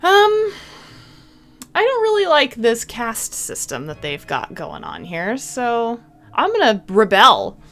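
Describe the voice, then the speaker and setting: A woman reading a visual novel aloud in an expressive storybook style, with casual playful commentary. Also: a little high, takes it slowly. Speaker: video game streamer. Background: game music.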